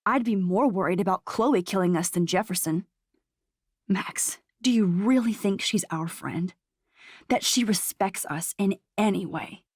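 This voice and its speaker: Sneering, husky American mean girl. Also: mid-range voice, measured pace, no room sound, studio quality. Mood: mocking. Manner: sneering, sarcastic, cutting. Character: scornful, taunting dark double of a teenage girl. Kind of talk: video game voice acting.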